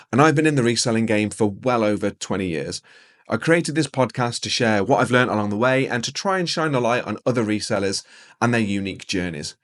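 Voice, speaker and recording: A man in his forties hosting a podcast, upbeat and welcoming as he talks with his guest. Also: fairly low pitch. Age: 40s. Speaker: podcast host. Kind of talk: podcast interview.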